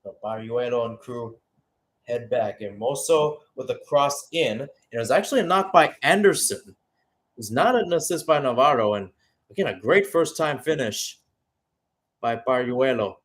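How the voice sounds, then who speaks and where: A man's voice: mid-range voice, expressive, normal speed, fairly bright tone. A man giving live radio-style play-by-play commentary on a football match, with exclamatory phrasing and rhetorical questions.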